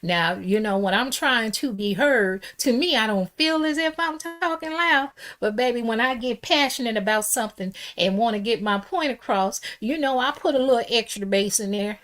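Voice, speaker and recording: A middle-aged woman talks pop culture in a sassy, casual monologue. Her voice is loud and strong and sits a little high. She drives each point home with emphatic asides and keeps calling the listener "baby.". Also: moderate pace, few pauses.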